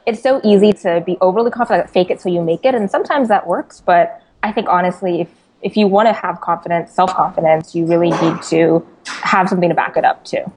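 A woman's voice: on the low side, brisk, darkish tone, low-quality recording. A woman from California, a journalist guest in an interview, answering thoughtfully. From California, USA. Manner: thoughtful, some "uh" and restarts.

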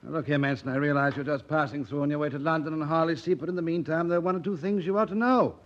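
An actor playing a cynical, hard-drinking, witty doctor in a vintage radio play, with a breezy, ironic, theatrical delivery. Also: male voice, fairly high pitch, rapid-fire, natural voice. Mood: breezy, sardonic. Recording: dull old radio broadcast recording.

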